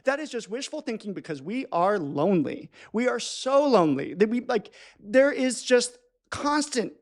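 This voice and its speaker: A man's voice: very high-pitched, very animated, normal speed. A man hosting a podcast, interviewing a guest with dry, joking asides. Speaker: podcast host. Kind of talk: casual interview chat.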